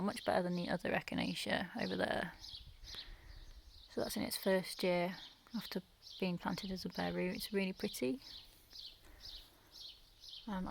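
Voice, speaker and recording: A woman from the UK, croaky from just waking up, giving a quiet, half-whispered tour of her back garden in the early morning. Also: on the low side. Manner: hushed, half-whispered talk. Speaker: gardening vlogger. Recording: noisy outdoor recording with birdsong.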